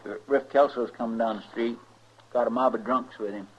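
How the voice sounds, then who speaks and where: A man's voice: mid-range voice, steady tone, lightly acted. A man with an American accent playing a loyal, deferential and anxious deputy sidekick in an old-time radio western. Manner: deferential, hurried, folksy. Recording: muffled, slightly noisy old radio recording.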